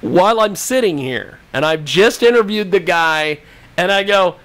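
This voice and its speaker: A male talk show host with a bright, forceful voice that sits a little high and moves around a lot. He chats casually and jokes, often at his own expense. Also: expressive, moderate pace, smooth. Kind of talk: casual comedic radio chat.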